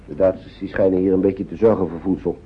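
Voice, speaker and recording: A man's voice: low voice, steady tone, quick pace, slightly rough. A man playing a worried, kindly English uncle shepherding young children in a radio drama, hesitant and repeating himself as he fusses. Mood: gently urging. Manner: hesitant, repetitive, fussing gently over children. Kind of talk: naturalistic radio drama acting. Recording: dull, noisy old radio play recording.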